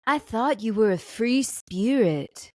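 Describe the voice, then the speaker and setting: Woman playing a slow, pleading creepy guy. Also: on the high side, lively intonation. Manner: mock-dramatic pleading. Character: pleading, apologetic creepy man begging forgiveness.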